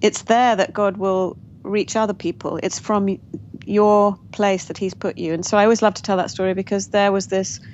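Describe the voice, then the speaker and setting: A woman's voice: medium pitch, steady tone, normal speed. Woman telling her story, lo-fi. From England. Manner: warm, reflective storytelling with frequent restarts and light laughs. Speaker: guest author. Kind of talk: interview conversation. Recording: dull lo-fi audio with faint noise.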